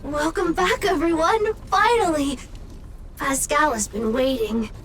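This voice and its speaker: A woman voicing a small, patient robot in a video game, speaking in terse, halting, mechanical fragments. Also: high voice, a bit bright. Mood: methodical. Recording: music behind the voice.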